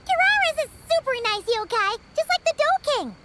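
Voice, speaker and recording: A woman's voice: very high voice, very animated, normal speed, very noisy. An actress voicing an eager, inquisitive little girl in a video game. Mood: cheerful. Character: curious, cheerful little girl.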